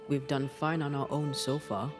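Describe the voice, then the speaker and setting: A man playing a steady, courteous ghost hunter in a video game, speaking in a measured, earnest way. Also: medium pitch, faint music behind, lightly acted. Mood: firm. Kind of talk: video game cutscene acting.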